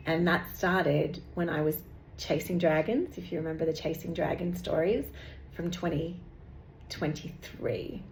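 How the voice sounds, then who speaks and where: A woman rambles through a casual vlog update, full of fillers and restarts. Her lowish voice has a gravelly edge and is starting to go hoarse. She's recorded in an echoey room with faint noise behind her. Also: darkish tone. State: losing her voice. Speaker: meditation teacher and channel host.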